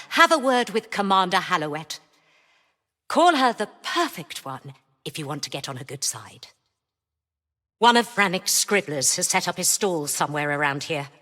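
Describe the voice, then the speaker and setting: An actress playing a cynical, commanding spaceship mistress in a video game, imperious, dismissive and dryly sardonic. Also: female voice, on the low side, bright, forceful tone. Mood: brisk, dry. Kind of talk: scripted video game voice lines. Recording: long reverberant hall echo.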